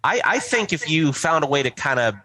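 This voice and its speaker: A man chatting casually as a podcast co-host, swearing freely and doubling back on his words in a halting way. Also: fairly high pitch, sing-song, sharp tone. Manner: halting, repetitive starts, profane. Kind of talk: casual chat.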